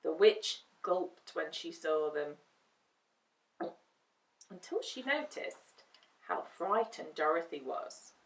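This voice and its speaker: A woman reading a children's storybook aloud with expressive narration. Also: low-pitched, takes it slowly, long pauses, far from the mic. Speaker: storytime reader. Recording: roomy echo, away from the mic.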